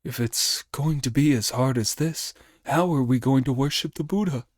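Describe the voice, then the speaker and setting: A man's voice: medium pitch, normal speed, no room sound, studio quality, close to an everyday voice. A man voicing a pious, anxious master monk in a storybook reading, with a plaintive manner. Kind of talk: storybook character dialogue.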